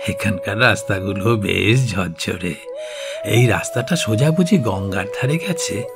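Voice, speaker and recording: A man playing a fussy, kindly middle-aged father in a Bengali radio drama, speaking haltingly and repeating his words. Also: on the low side, animated, creaky, over music. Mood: mild, agreeable.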